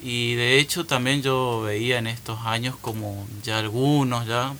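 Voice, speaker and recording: A man's voice: medium pitch, steady tone, unhurried, sharp tone, slight background noise. A young man, a newly ordained priest, speaking earnestly and reflectively in a radio interview, laughing readily.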